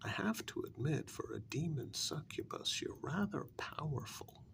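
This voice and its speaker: A man playing a sly, smug kidnapper taunting his captive in an ASMR role-play, speaking softly and breathily, often half-whispering, in a halting, wryly sarcastic way. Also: fairly high pitch, animated, gravelly. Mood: probing, grudgingly impressed. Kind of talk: ASMR role-play, soft-spoken monologue.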